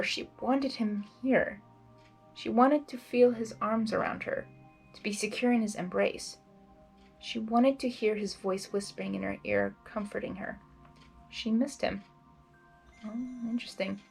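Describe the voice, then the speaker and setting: Female reader-commentator, highish voice, expressive and rambling, music underneath. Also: a little slow, gravelly, husky, rough recording. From Hungary. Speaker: fan fiction reader and commentator. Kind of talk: blind reading with casual reaction commentary.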